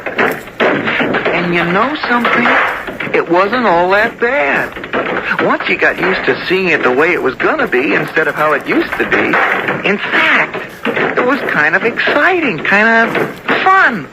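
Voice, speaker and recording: Radio play role: stubborn, innocent young boy, slow-paced with a creaky edge, 1970s broadcast sound. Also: high voice, very animated, very noisy, full character voice. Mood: excited. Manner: earnest, wide-eyed, halting. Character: eager, nature-loving country boy, innocent and stubborn. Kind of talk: 1970s radio drama acting, narrating and dialogue. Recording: old radio recording, music underneath.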